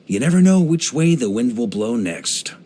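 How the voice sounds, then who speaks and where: An actor playing a hearty middle-aged knight grandmaster in a video game, an easygoing adventurer who talks in a wry, conversational way. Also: male voice, mid-range voice, a little hiss or hum, lightly acted. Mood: fond, reflective. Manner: relaxed, wry, conversational, with chuckles and musing pauses. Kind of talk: video game character voice lines.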